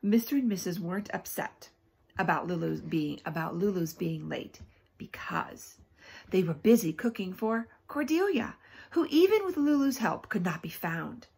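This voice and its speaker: A woman teacher reads a children's book aloud expressively, pausing often for emphasis and to put questions to young listeners. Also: on the low side, lively intonation, vocal fry, darkish tone, husky.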